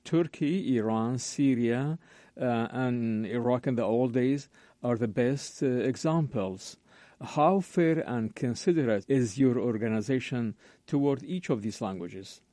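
A man hosting a radio interview, asking formal, measured questions. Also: medium pitch, dark tone, no echo.

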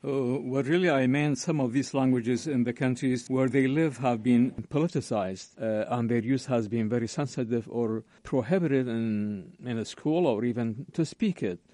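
A man hosting a radio interview, asking formal, measured questions. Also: medium pitch, dark tone, no room sound.

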